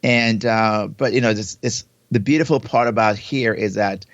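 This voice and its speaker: A man's voice: lowish voice, normal speed, no room sound. A man from Jamaica with a Jamaican accent, co-hosting a podcast over a remote link. He talks expansively, repeating and restarting his words. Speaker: podcast co-host. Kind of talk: casual podcast chat. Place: home in South Florida, remote recording. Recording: dull, lo-fi remote audio.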